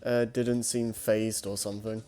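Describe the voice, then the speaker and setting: A man's voice: fairly low pitch, level tone, unhurried, mellow. A man chatting casually and hesitantly. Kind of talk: casual chat.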